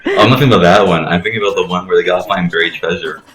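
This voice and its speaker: A man's voice: low-pitched, very fast, a bit bright, faint music behind, low-quality recording. A man doing casual, rambling video game commentary, retelling things and acting out quotes. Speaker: let's play commentator.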